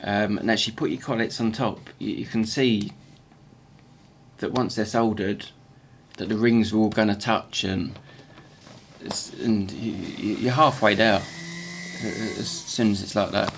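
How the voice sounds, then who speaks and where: Halting man with a croaky gravelly voice. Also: lowish voice, fairly even intonation, a little hiss or hum. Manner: halting, with many repeated words and restarts. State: croaky morning voice. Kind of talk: casual workshop tutorial voice-over.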